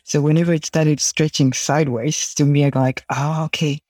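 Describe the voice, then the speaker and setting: A man's voice: a little high, little pitch movement, brisk, a little mellow, no room sound. Man chatting, dry close sound. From Tanzania. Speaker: podcast guest, data scientist. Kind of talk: casual chat.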